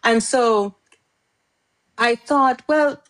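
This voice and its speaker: A woman's voice: a little high, extremely slow, a bit bright. A middle-aged woman from Trinidad, an author chatting as a guest in an interview, talkative and laughing often. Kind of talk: casual interview chat.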